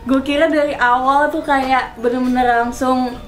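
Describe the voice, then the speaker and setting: A woman speaks in a fairly high voice. She chats informally in slang, like a podcast panelist. The room is echoey, with light music behind. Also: fairly even intonation, moderate pace. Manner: informal, colloquial Jakarta slang. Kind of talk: casual chat. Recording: roomy echo, music behind.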